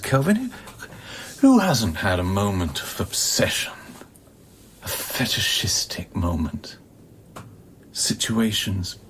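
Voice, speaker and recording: Haunted scientist, swinging low intense voice. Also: male voice, slight background noise. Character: haunted, bitter, volatile male space-station scientist. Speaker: radio play actor. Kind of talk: dramatic radio play acting.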